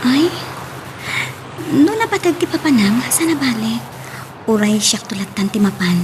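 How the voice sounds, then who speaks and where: A woman's voice: on the high side, lively intonation, normal speed, music in the background, lightly acted. A woman playing a young, affectionate sweetheart in an Ilocano radio drama, with a Filipino accent. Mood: coaxing.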